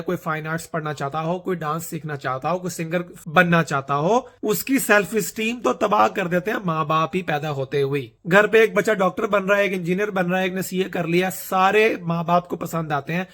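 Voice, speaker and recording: High-voiced Pakistani man musing aloud. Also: fairly bright tone. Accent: Pakistani Urdu. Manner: earnest, rambling, self-mocking. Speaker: vlogger. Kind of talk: casual monologue mixing Urdu and English.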